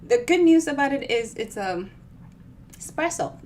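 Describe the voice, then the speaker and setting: A woman's voice: high voice, expressive, moderate pace, a little mellow, a little hiss or hum. A woman from the Dominican Republic chats casually and playfully as an author hosting a livestream storytime, in a bubbly, informal way. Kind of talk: casual chatty livestream talk.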